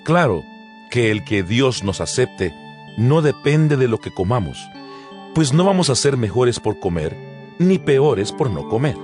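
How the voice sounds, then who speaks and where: Apóstol serio, muy expresivo. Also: male voice, mid-range voice, very animated, a little mellow. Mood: earnest, instructive. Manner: measured, emphatic, didactic. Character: earnest male apostle addressing his congregation. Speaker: narrator of an audio Bible. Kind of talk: dramatized scripture reading. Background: background music.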